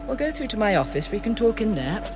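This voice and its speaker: An actress playing a brisk, guarded gallery manager in a radio drama, clipped and formal. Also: female voice, mid-range voice, big pitch swings, music in the background, natural voice. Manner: clipped, formal and faintly defensive.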